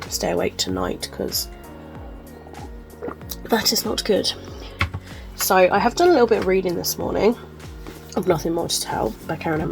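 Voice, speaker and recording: Chesty woman, gravelly voice. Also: on the low side, poor audio. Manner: chatty and rambling, many ums and likes. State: chesty, congested from illness. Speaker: book vlogger. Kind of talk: casual chat to camera. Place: at home.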